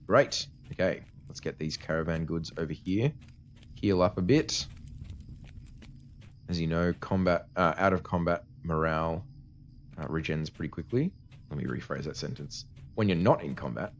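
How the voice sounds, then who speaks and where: A man's voice: very deep voice, slight background noise. A man giving casual, explanatory commentary as a let's play host, his voice tired and cutting out slightly from a cold. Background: game music.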